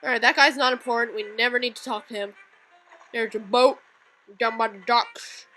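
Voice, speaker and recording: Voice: medium pitch, steady tone, normal speed, rough recording. A casual let's play commentator making sarcastic, impatient quips while playing a video game. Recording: voice over loud game audio. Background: video game music and dialogue.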